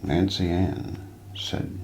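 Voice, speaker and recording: A deep-voiced man with heavy vocal fry narrates mysteries in a hushed ASMR style. He moves slowly and deliberately, building suspense. The recording is roomy, with light background noise. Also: expressive. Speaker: narrator and host of an ASMR role play.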